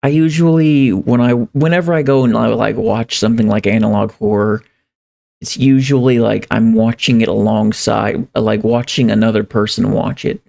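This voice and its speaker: A man livestreaming in a casual, rambling way, halting and repeating phrases as he thinks aloud. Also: fairly low pitch, steady tone, vocal fry, mellow. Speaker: live streamer. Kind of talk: casual livestream chat, reading viewer comments.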